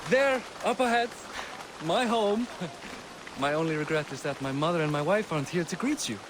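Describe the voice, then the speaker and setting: A man's voice: fairly high pitch, lively intonation, moderate pace, crowd noise, background noise, natural voice. An actor playing a proud, pragmatic middle-aged Viking king in a video game. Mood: welcoming. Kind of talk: game voice acting.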